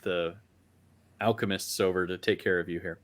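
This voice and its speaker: A man talking casually on a podcast in a halting, hesitant way. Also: low-pitched, fairly even intonation, fairly bright tone, slight background noise.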